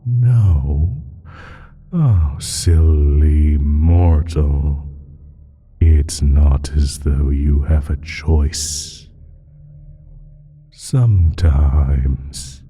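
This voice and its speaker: A man playing an ancient evil creature in an audio drama, gloating and playfully menacing, speaking in a slow, breathy, condescending drawl. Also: very deep voice, dark tone, faint music behind, heavily acted. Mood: condescending, soothing menace. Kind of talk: scripted roleplay audio drama monologue.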